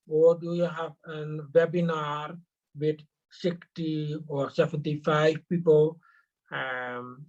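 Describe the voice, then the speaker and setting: A man answering interview questions in a halting way, with many fillers and restarts. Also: on the high side, mellow. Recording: dull lo-fi recording.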